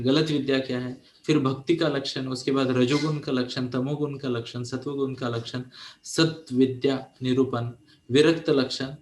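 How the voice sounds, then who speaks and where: Echoey forties man, motivational mentor. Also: on the high side. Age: 40s. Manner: mixes English phrases into Hindi, asks "है ना?" often. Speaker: teenage mentor and coach. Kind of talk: motivational talk to young listeners.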